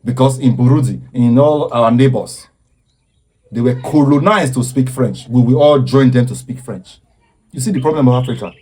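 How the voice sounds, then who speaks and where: A man speaks with earnest, preaching conviction and often stops to repeat words. His voice is dark and mellow with a husky edge. He lectures like a commentator making a case. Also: mid-range voice, moderate pace. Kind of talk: impassioned social commentary.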